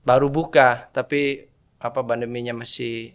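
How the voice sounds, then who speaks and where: A man's voice: mid-range voice, level tone, normal speed, smooth. A man from Vietnam with a Vietnamese accent, answering in a casual interview and often searching for words in a language that is not his native one. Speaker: podcast guest, religious brother studying theology.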